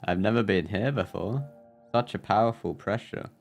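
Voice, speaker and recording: A man's voice: on the low side, normal speed, music in the background. A man live streaming a game, reacting and commenting casually.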